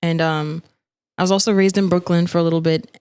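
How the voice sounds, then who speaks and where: A woman's voice: fairly low pitch, steady tone, a little fast, darkish tone, smooth, no room sound. A woman in her twenties from Jamaica with a New York accent, chatting casually as a singer guest in an interview. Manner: easygoing, laughing, chatty storyteller.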